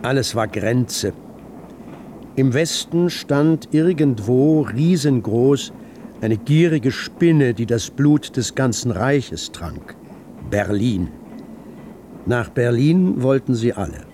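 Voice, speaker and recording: A man's voice: mid-range voice, lively intonation, takes it slowly, warm tone, a little hiss or hum. A man performing as a grave literary narrator in a radio drama. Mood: grave narration.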